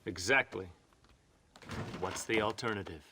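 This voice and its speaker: An actor playing a stern, hostile government agent in a western game, curt and accusing. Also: male voice, lowish voice, measured pace, pushed and bright, slight background noise. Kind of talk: cinematic western game dialogue.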